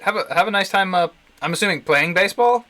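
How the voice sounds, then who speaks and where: A man's voice: sits high, lively intonation, quick pace, bright, forceful tone. A man livestreaming casual commentary on a visual novel, thinking aloud in a rambling, jokey way. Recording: music behind the voice. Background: game music.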